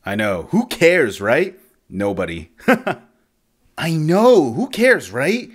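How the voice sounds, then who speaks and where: A man's voice: on the high side, huge pitch swings, takes it slowly, husky, heavily acted. A man voicing a cocky, nonchalant young cartoon contestant who sounds dismissive and laid-back. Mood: smug, dismissive. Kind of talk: animated series audition read.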